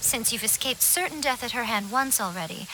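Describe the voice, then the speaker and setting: A sly fox spirit woman from a video game, with a bright, fairly high voice. She speaks in measured, formal phrases, teasing and superior, always sure of herself. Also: lively intonation, a little hiss or hum, low-quality recording. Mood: teasing, smug. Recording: music under the voice. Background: background music.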